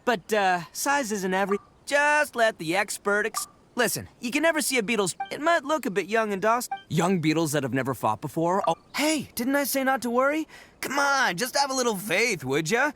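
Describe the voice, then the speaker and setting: A man's voice: high voice, sing-song, fairly quick, pushed and bright, heavily acted. A man voicing a brash, goofy young oni gang boss in a video game, bragging and blustering, stammering when cornered. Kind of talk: animated video game voice acting. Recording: game music behind the voice.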